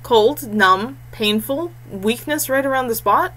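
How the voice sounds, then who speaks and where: A woman's voice: a little high, a little slow, pitched above the performer's own voice, poor audio. A woman voicing a tired, earnest young male heir and fighter in a fantasy audiobook. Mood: uncertain. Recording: clear steady background noise and hum.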